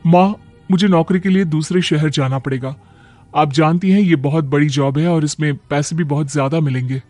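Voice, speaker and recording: Man playing a polite young woman, raised pitch. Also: normal speed, a little mellow, dry recording, faint music behind, natural voice. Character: polite, self-respecting unmarried woman of nearly thirty. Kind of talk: animated moral story dialogue.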